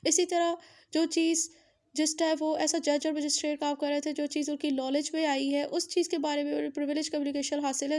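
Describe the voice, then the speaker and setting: High-pitched Pakistani woman lecturing. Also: creaky, a little mellow. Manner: didactic, repeats and rephrases points. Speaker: law lecturer. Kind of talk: explanatory lecture mixing in English legal terms.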